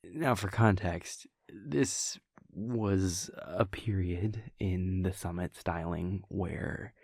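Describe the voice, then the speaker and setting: Man playing a low, gravelly, slow vampire boyfriend. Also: dry recording, clean studio sound, full character voice. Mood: sardonic, reminiscing. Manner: halting, hushed, amused, trailing off. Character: laid-back young male vampire prince boyfriend, wry, mischievous. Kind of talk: intimate boyfriend audio roleplay, unhurried storytelling. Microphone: close, dry studio microphone.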